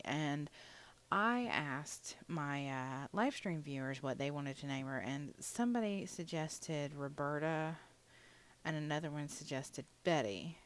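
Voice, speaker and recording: Low-voiced, chatty young woman. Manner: rambling, chatty, many fillers. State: a little sniffly. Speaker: gaming channel host. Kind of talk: casual voiceover commentary on a building game.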